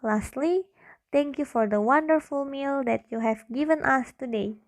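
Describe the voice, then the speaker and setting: A woman's voice: high-pitched, normal speed. A young woman acting as a cheerful, affectionate little girl in an amateur radio drama, gushing and pleading. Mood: sincere, prayerful. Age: young adult.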